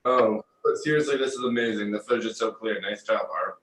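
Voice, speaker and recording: An easygoing repair shop worker acted by a man, speaking fairly low in an echoing room. The talk is casual and slangy, full of friendly ribbing, as in a comedy script read. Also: brisk, a bit bright, recorded from a distance, close to an everyday voice. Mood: amazed, excited.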